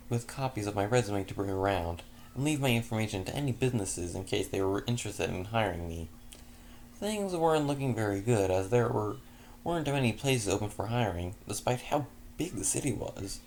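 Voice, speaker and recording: A man's voice: mid-range voice, a little mellow, a little hiss or hum. A man reading a first-person fanfiction story aloud, often stumbling and correcting himself mid-sentence. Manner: frequent stumbles and self-corrections. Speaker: fanfiction reader.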